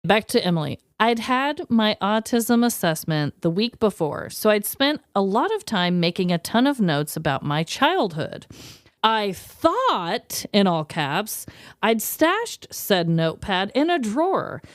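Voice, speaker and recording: A woman co-hosting a podcast, chatting casually and reading listener stories in an expressive, gushing, slangy way. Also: mid-range voice, measured pace, dry recording, studio quality. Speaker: podcast co-host.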